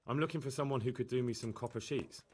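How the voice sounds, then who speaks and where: A man's voice: mid-range voice, quick pace, darkish tone, slightly rough, close to an everyday voice. An actor playing a polite, inquisitive young medieval man asking questions in a video game. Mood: polite, curious.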